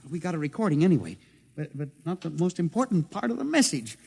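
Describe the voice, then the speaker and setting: A man's voice: a little high, big pitch swings, quick pace, creaky, a little mellow, husky, full character voice. An actor playing an anxious, hesitant man on the run, stammering and deferential, in a 1950s radio drama. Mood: shaken. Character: nervous, hesitant young man hunted by conspirators. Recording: slightly noisy vintage radio recording.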